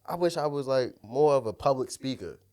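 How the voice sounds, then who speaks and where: A man's voice: medium pitch, lively intonation, a little fast, a little mellow. A man chatting casually as a podcast co-host, with a congested, nasal voice and slangy talk where he repeats himself for emphasis. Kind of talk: casual banter.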